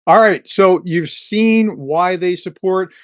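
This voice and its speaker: A man's voice: high-pitched, takes it slowly, dry recording, studio quality. A man co-hosting a casual chat, giving friendly explanations in loose, rambling phrases. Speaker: co-host, fellow channel host.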